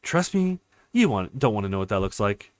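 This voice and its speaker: Man reading as sharp, scornful woman strategist. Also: fairly low pitch, sing-song, brisk, no echo, studio quality, pitched below the performer's own voice. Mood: cold, commanding. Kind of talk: reading visual novel dialogue.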